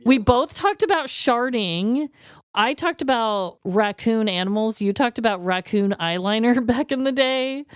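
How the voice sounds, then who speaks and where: A woman co-hosting a podcast in casual comedic chat, with a playful, teasing and animated way of talking. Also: on the high side, measured pace, clean tone, no echo, studio quality. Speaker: podcast co-host.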